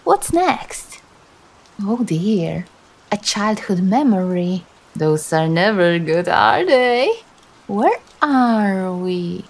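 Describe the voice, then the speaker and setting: A woman's voice: medium pitch, big pitch swings, exaggerated voice. A woman playing a seductive demon who feeds on embarrassment, speaking in a teasing, theatrical way in ASMR roleplay style. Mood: mock sympathy. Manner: teasing, theatrical, slow and drawn-out.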